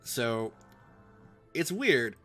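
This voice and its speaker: Casual male book-club host, medium pitch, thinking aloud in slangy stops and starts, faint music underneath. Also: sing-song, fairly bright tone. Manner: informal, slangy, halting while thinking aloud. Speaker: host of live classic novel readings. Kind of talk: casual book discussion between chapters.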